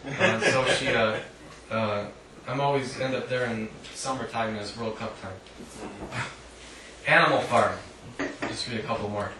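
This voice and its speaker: A man's voice: fairly low pitch, a little slow, long pauses. Echoey, wry man reading poetry live. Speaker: featured poet at a reading. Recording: roomy, distant mic, faint noise.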